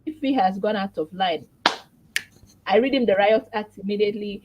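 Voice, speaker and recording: A woman's voice: mid-range voice, normal speed, muffled recording, background noise. A woman guest on a talk show who describes her own voice as tiny and speaks candidly and expressively about her marriage. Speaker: guest, wife. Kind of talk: interview chat.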